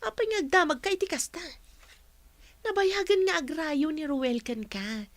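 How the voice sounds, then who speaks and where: A woman's voice: sits high, moderate pace, dry recording. A woman acting a teasing, nosy matchmaking coworker in an Ilocano radio comedy drama, with a Filipino Ilocano accent.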